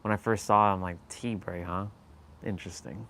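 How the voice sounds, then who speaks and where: A man's voice: deep voice, fairly even intonation, normal speed, gravelly, fairly bright tone. A Canadian man hosting a live stream and chatting casually, rambling and searching for words, with frequent laughs. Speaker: livestream host.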